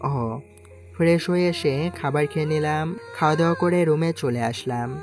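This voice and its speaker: A man's voice: on the high side, moderate pace. Male story narrator over music. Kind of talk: scripted first-person story narration with acted dialogue. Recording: music clearly behind the voice.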